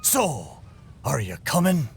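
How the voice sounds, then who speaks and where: Swooping, hearty old sea-dog ship. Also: male voice, medium pitch, huge pitch swings, takes it slowly, creaky, slight background noise, exaggerated voice. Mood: rousing, hearty. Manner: hearty nautical dialect, theatrical flourishes. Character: jolly old-salt talking ship, forgetful, boastful, big-hearted. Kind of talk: video game character acting.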